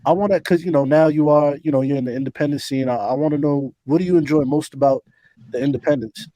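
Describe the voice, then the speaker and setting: Laid-back man with a mellow voice. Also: a little high, fast talker, no room sound. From Trinidadian family. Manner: laid-back, slangy, friendly. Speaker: podcast co-host. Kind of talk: casual interview chat.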